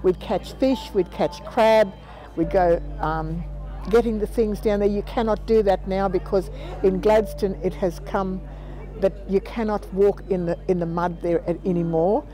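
Older Australian woman, mellow voice. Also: mid-range voice, steady tone, a little slow, soft background music, poor audio. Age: 70s. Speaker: community elder interviewee. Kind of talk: reflective interview storytelling. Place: outdoors on a planted patio. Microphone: lapel mic.

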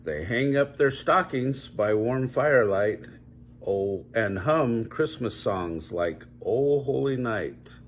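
An older man reading a children's story aloud at home in measured, drawn-out storybook phrasing. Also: on the low side, expressive, a little hiss or hum. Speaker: grandpa reading a picture book aloud. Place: small home room, indoors.

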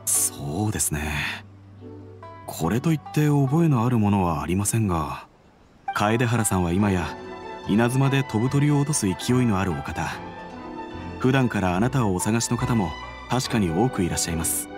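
A man playing an earnest detective agency employee in an anime game, speaking in a formal, deferential, measured way. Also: fairly low pitch, lively intonation, lightly acted. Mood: respectful, earnest. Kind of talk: Japanese anime game dialogue. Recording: faint music under the voice.

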